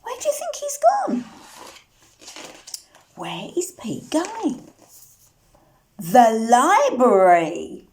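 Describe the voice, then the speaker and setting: A woman with a high, very lively voice tells a picture-book story to little children. She takes her time, often turns to the listeners with questions, and slips into a sing-song delivery for the refrains. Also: drawn-out words, long pauses, background noise. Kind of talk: interactive read-aloud for young children, with sung refrains.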